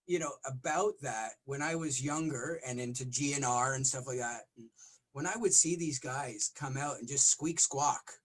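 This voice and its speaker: A middle-aged man, a rock vocalist, talking in a casual interview with a smoky, raspy voice and lots of restarts. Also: mid-range voice, moderate pace, a bit bright, low-quality recording. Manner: rambling, frequent restarts and fillers.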